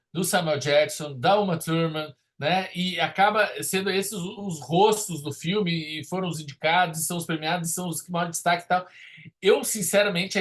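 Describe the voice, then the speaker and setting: A high-pitched, forceful male voice. He talks through films casually, with animated, opinionated delivery, like a podcast host at ease with the subject. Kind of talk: casual film discussion.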